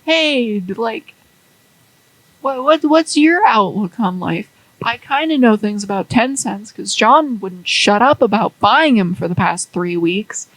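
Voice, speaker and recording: A woman voicing a smug scheming businessman who talks loud and taunting. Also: animated, measured pace, no room sound, low-quality recording. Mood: chummy. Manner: loud, taunting, high-pitched.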